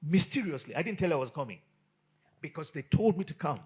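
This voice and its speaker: A man from Nigeria, a guest pastor, telling an animated story in a studio interview and acting out the dialogue. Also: a little high, fast, slightly rough.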